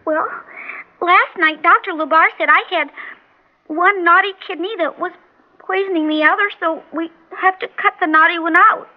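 A frail little girl patient in a vintage radio play. Her voice is extremely high, childlike and plaintive, yet frank. The recording is old and muffled. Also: fairly even intonation, normal speed. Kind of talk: old-time radio drama acting.

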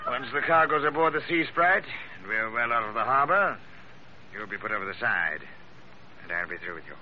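An actor playing a cold, commanding villain sea captain in an old-time radio adventure, giving threatening clipped orders with mocking politeness. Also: male voice, medium pitch, animated, brisk, close to an everyday voice. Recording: muffled, hissy vintage radio recording.

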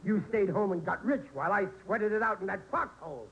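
A man's voice: sits high, brisk, fairly bright tone, dull audio. An actor playing a blustery, stubborn American working-class family man in an old-time radio sitcom, indignant and ungrammatical. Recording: noisy vintage radio recording.

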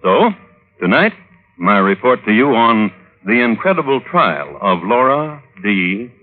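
Droll true-crime host, low-pitched, animated, wry ornate narration, vintage radio, narrow band. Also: male voice, measured pace. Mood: wry, measured. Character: dry, droll gentleman connoisseur of true crime. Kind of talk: 1950s radio scripted narration. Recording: old narrowband radio recording with music.

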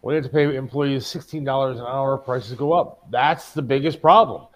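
A man's voice: mid-range voice, brisk. An American man with a mid-range voice chats informally as a co-host on a talk show. He grumbles and complains, swearing as he goes. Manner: profane, complaining. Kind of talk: casual chat.

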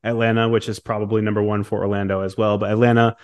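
A man's voice: fairly low pitch, level tone, fast, smooth. A man hosting a casual sports conversation and putting questions to a guest. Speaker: sports show host, interviewer.

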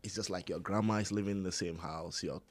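A man with a very low voice, a Hausa speaker, talks as a podcast guest. His speech is relaxed and meandering, packed with "like" and "you know." It sounds like an unhurried conversation. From Ghana. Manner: easygoing, rambling with many fillers. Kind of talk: casual chat.

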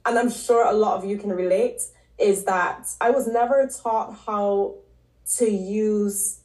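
A woman's voice: medium pitch, fairly even intonation, unhurried, darkish tone. Woman telling her story in an echoey room. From Barbados. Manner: storytelling, many ums and likes. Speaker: podcast guest, nutrition and health coach. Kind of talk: casual interview talk.

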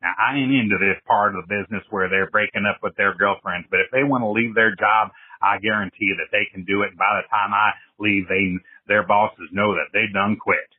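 Man playing a husky, brash Southern-drawling businessman on phone. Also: fairly low pitch, steady tone, brisk, dry recording. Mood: boastful. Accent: American Southern. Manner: folksy, boastful, repetitive. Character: brash swaggering Japanese businessman raised American, bragging. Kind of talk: comic phone-in monologue. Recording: tinny phone line, muffled voicemail.

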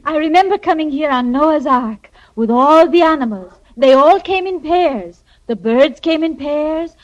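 A high-voiced seductive vampire. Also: female voice, lively intonation, unhurried. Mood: grateful, sweet. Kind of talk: 1940s radio comedy acting. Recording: dull vintage radio recording.

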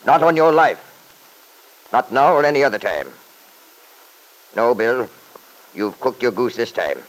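A man's voice: mid-range voice, expressive, a little slow, natural voice, rough recording. An actor playing a domineering, wealthy older father in an old-time radio drama, blustering and imperious. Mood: scornful, threatening.

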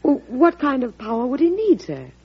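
A woman's voice: high-pitched, huge pitch swings, moderate pace, breathy edge, full character voice. An actress playing an eager, respectful female secretary to a President in an old-time radio drama. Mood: curious. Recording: muffled old radio recording.

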